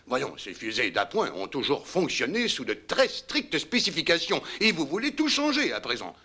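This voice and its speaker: A man's voice: a little high, wildly swooping pitch, normal speed, pushed and bright, heavily acted. A man acting an impatient, combative space programme manager in a dubbed docudrama, arguing with sarcasm and pressing his point. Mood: exasperated. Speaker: meeting official challenging engineers.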